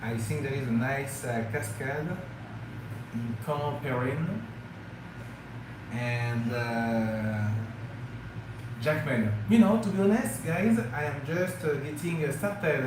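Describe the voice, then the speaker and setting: A man with a French accent, French being his first language, chats with his viewers during a travel vlog livestream. He speaks at a middling pitch, with many hesitations and fillers. The sound is strongly echoey, with clear background noise. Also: lively intonation, slow, pauses often. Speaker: travel vlogger. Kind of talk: casual livestream chat with viewers. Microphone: phone or camera mic, live stream.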